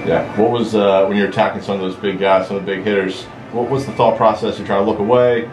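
A man moderates a post-game press conference, firing off questions at a quick clip. His low voice stays flat and level. The room gives him a roomy echo, with faint music underneath.

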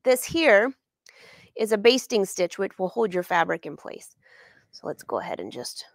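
A woman's voice: medium pitch, sing-song, moderate pace, vocal fry, no echo. A woman in her forties leading a live sewing lesson from her studio, chatting upbeat and answering viewers by name. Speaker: sewing educator and brand ambassador.

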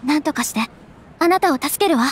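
A woman voicing a gentle, caring young noblewoman who speaks softly and politely in a game cutscene. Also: high-pitched, expressive, fast, noisy background. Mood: earnest. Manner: soft, polite, refined. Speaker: game character.

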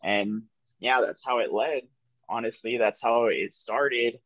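A young man in his twenties from New Mexico, telling his personal story over a video call in a reflective, soft-spoken way, with many "like" and "um" fillers. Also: mid-range voice, slow, no room sound, muffled recording. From New Mexico, USA. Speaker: guest sharing his recovery story. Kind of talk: candid personal storytelling on a podcast. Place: office room with ceiling lights. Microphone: video call webcam.

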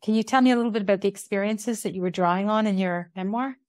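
A middle-aged woman interviews a guest as podcast host. Her delivery is conversational and mid-pitched. The recording is dry, free of room echo. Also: quick pace, darkish tone.

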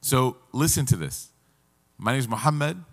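A man's voice: medium pitch, expressive, normal speed, vocal fry, in a large hall. A man in his thirties, seated at a table, teaching an interactive Quran class and asking the audience questions. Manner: engaging, asks the audience questions.

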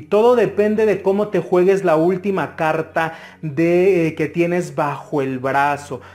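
A man with a Mexican Spanish accent reads tarot cards for his viewers in an earnest, advising way. His voice sits a little high for a man, and he often pauses with hesitations. He is recorded close to the mic at a table indoors. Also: a little mellow. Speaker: tarot reader. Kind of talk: interactive tarot reading to camera.

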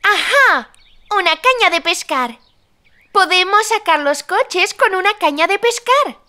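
A woman's voice: very high-pitched, animated, a little slow, sharp tone, exaggerated voice. A woman voicing a cheerful, helpful little girl in a children's cartoon, talking to young viewers in a teacherly, exclamatory way. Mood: reassuring, encouraging. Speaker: main character and presenter of a children's cartoon.

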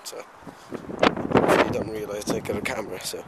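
Teenage lad rambling over loud street noise. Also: male voice, fairly low pitch, steady tone, normal speed. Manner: hesitant, meandering, offhand. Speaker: amateur vlogger. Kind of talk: casual rambling walking vlog. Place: outdoors on a residential street, walking. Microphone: handheld camera microphone. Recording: handheld camera outdoors, loud street noise.

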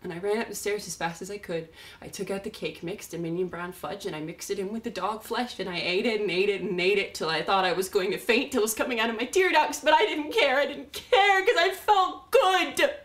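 A woman performing a theatrical monologue as an unstable, self-loathing young woman, confessing in a halting, volatile way. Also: medium pitch, lightly acted. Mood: tense confessing. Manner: halting, stammering confession swinging between whispers and cries.

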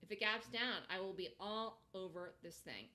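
A woman's voice: medium pitch, moderate pace, bright, forceful tone. Emphatic woman giving informal market commentary. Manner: emphatic, repeats words for stress; conversational, thinks aloud, asks rhetorical questions. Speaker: stock trading commentator and host. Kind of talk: informal market commentary over screen-shared charts.

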